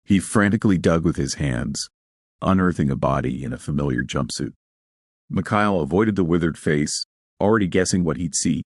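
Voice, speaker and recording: A man's voice: rumbling low, normal speed, no echo, studio quality. A man reading scripted dramatic narration of a science fiction horror story.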